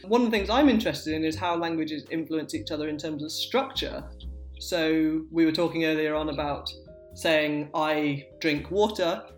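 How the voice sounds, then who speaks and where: A patient linguist walks through ideas using example after example, leaning on "um" and "so". The voice sits in a roomy, echoing space, with faint music underneath. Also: medium pitch. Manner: patient, uses examples, frequent "um" and "so". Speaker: linguist guest. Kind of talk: explanatory interview talk. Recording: roomy echo.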